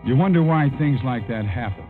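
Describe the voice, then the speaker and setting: An actor playing a sincere, plainspoken airline pilot in a 1950s radio drama. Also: male voice, medium pitch, expressive, brisk, soft background music, lightly acted. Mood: somber narration. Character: earnest, lovestruck male airline co-pilot narrating. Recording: phone-like vintage radio broadcast.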